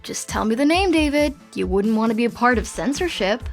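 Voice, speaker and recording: High, lively teenage girl. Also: a little fast, soft background music. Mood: pleading, defiant. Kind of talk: scripted audio fiction acting.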